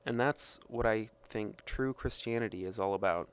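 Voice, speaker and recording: Low-voiced man reading over background noise. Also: moderate pace, rough recording. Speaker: reader of personal testimony. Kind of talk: reading a written first-person story aloud.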